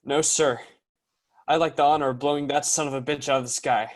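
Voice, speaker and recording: A man's voice: fairly high pitch, steady tone, fairly quick, close to an everyday voice. A man playing a brave young fighter pilot with an earnest, urgent, commanding delivery. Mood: determined.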